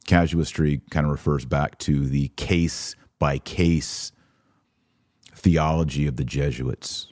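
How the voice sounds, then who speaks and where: A man's voice: very deep voice, steady tone, takes it slowly, darkish tone, dry recording, clean studio sound. A man hosting a podcast, reading documents aloud and commenting on them with sarcasm and emphasis. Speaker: podcast host.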